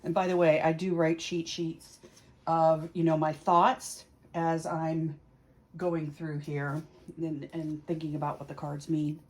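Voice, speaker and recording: Slow-paced woman host chatting casually, lowish voice. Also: little pitch movement. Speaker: tarot reader and video host. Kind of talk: casual explanatory chat to camera.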